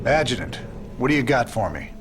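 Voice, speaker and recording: An actor playing a gruff, defiant rebel commander in a video game, with a laconic American Southern drawl. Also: male voice, mid-range voice, big pitch swings, fairly quick, natural voice. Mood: determined, gruff. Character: gruff rebel commander, drawling space-western man, weary but defiant. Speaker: rebel military leader. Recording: loud music behind the voice. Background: loud game music, gunfire, vehicles.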